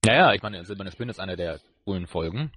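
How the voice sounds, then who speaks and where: Dude podcast cohost, bit of a low voice, casual explaining, dry recording. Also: male voice, animated, brisk, a bit bright, breathy edge, dry recording. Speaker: podcast co-host. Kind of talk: casual explanatory review chat.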